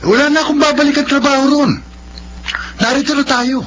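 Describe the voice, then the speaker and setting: A muffled track with clear noise carries a stubborn young lover. His voice is high for a man, insistent and exasperated in radio drama fashion. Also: fairly even intonation, fairly quick, fairly bright tone.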